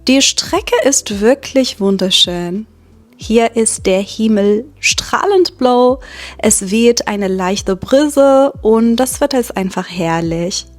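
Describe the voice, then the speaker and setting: A woman from Iran, a German teacher, speaking slowly and clearly in a vlog for learners and often checking in with tag questions. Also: fairly high pitch, expressive, soft background music. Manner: deliberate, with frequent tag questions.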